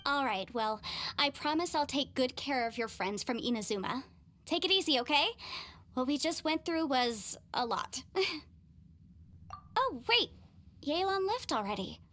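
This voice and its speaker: A woman's voice: high-pitched, very animated, unhurried, a bit bright, heavily acted. A woman voicing a courteous, formal young female advisor in a game cutscene. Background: game music.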